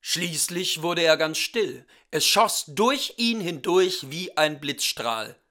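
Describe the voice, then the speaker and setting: A man reading a fairy tale aloud as a storyteller, with deliberate, theatrical and expressive delivery. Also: fairly high pitch.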